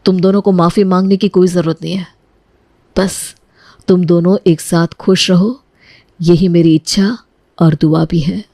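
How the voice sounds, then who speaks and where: A woman as a low, mellow, noble husband. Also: steady tone, no room sound, studio quality. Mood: solemn, resolved. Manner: solemn, measured, earnest. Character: noble, selfless adult husband and elder brother. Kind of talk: acted dialogue in Hindi moral story cartoon.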